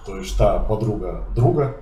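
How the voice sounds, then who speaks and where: A man's voice: on the low side, lively intonation, moderate pace, warm tone. A man hosting a travel vlog, chatting casually and telling stories while cooking in a home kitchen. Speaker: vlog host. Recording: roomy kitchen from far off, faint hiss.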